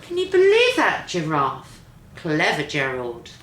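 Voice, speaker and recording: A woman's voice: sits low, wildly swooping pitch, a little slow, fairly bright tone. An older woman reading a children's picture book expressively, like a grandmother, with exclamatory asides to a child. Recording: roomy echo, faint background noise.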